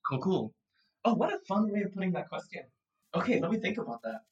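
Guest, twenties, thoughtful and rambling, slightly distant mic. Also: male voice, high-pitched, very animated, quick pace, breathy edge, lo-fi sound. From Singapore. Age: 20s. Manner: reflective, rambling with many fillers and laughs. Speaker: guest, audio production founder. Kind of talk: casual remote interview chat.

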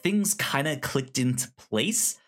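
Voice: mid-range voice, animated, slow, a bit bright. A podcast co-host casually discussing film, often stammering and repeating words and sounds.

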